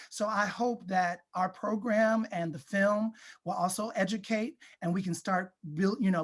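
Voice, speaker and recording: A man's voice: high-pitched, fairly bright tone. A man talking as an interview guest, conversational, articulate and emphatic in making points.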